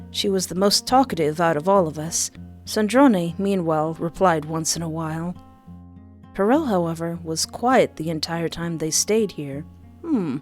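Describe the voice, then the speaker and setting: A woman reading a visual novel aloud, gentle and reflective as narrator and protagonist. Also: lowish voice. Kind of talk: reading a game's story aloud.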